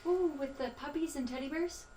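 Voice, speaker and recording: A woman's voice: high-pitched, normal speed, warm tone, a little hiss or hum, lightly acted. An actress playing a dry, quarrelsome young female ghost in a comedy web series. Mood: wry. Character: sardonic, bickering ghost of a young woman. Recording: distant mic with music behind.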